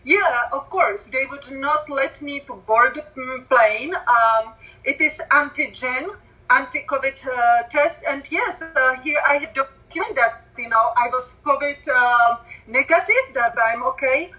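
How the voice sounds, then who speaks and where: Slow, bright-voiced woman with a Czech accent. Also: sits high, muffled recording, soft background music. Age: 40s. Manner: slow, careful speech with non-native grammar. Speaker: guest. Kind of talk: telling her story on a call.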